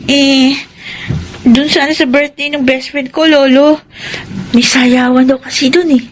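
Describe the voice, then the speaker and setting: A woman's voice: sits high, fairly even intonation, a little slow, a little hiss or hum. An actress playing a girlish, devoted granddaughter in a Filipino radio drama. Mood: eager, sweet.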